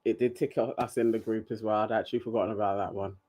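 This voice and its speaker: A man's voice: on the low side, steady tone, very fast, a little mellow. A man co-hosting a casual live stream chat, laughing often and talking with animation. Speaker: co-host.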